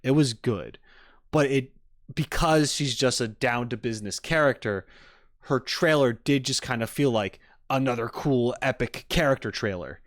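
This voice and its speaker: A man giving casual livestream reaction commentary to game trailers, rambling and halting as he rephrases his thoughts. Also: mid-range voice, dry recording, clean studio sound.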